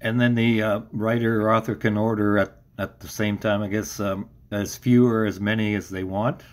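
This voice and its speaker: A man's voice: lowish voice, flat delivery, normal speed. A man hosting an interview in a casual, conversational style, feeling his way through loosely phrased questions and remarks. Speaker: interview host.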